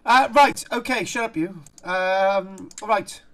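A man's voice: high-pitched, a bit bright. Middle-aged South Welsh man, informal archaeology lecturer on a video call, jokey and digressive, stop-start delivery. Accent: South Wales. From Barry, south Wales. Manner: chatty, jokey, digressive, halting. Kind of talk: informal online lecture, reading aloud and commenting. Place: indoor room, on a group video call.

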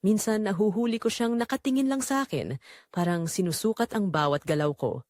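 A woman's voice: mid-range voice, fairly quick, a little mellow, dry recording, studio quality. A woman narrating a scripted dramatic story in the first person.

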